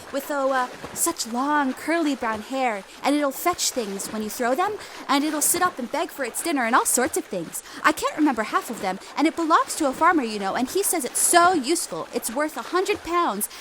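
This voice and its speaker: Polite, rambling young girl, high-pitched and earnest, audio drama, faint noise behind. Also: animated, normal speed, hardly any pauses. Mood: eager, chatty. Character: polite, chatty, eager young girl, easily apologetic.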